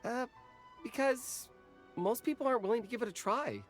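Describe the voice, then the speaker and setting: A man's voice: extremely high pitch, moderate pace, faint music behind. An actor voicing a nervous young snack vendor who stammers and apologizes while explaining his wares in a game cutscene.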